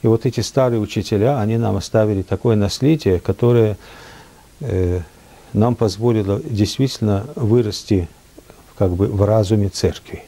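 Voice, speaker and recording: An older man from France reminiscing in a reflective, unhurried way, often pausing with hesitations. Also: fairly low pitch, dark tone. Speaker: speaker at a memorial. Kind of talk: reminiscing talk.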